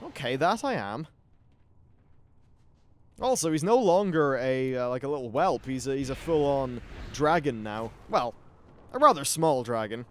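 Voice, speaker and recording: A man's voice: mid-range voice, animated, a little slow, long pauses. A man hosting a gaming video with casual commentary and wry, sweary asides.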